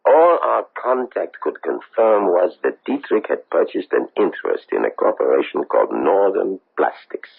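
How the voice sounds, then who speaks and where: An actor playing a solemn, insistent government investigator from abroad in a 1970s radio drama, with formal, deliberate, pleading phrasing. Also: male voice, deep voice, big pitch swings, creaky, husky, heavily acted. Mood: measured, dry. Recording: muffled tinny old radio broadcast.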